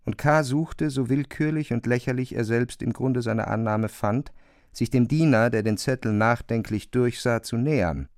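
A man reads literary prose in a measured, articulate way, as a narrator. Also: mid-range voice, a little mellow, no echo, clean studio sound.

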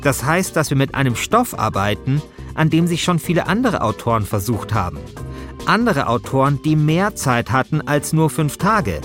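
A man's voice: a little high, very animated, normal speed, a bit bright, faint music behind. A man narrating a scripted first-person podcast in a wry, self-deprecating manner. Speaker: host and narrator.

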